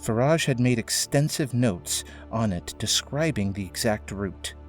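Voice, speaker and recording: A man's voice: medium pitch, animated, unhurried. A man reading scripted narration for an audio drama, in a storytelling style. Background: faint music.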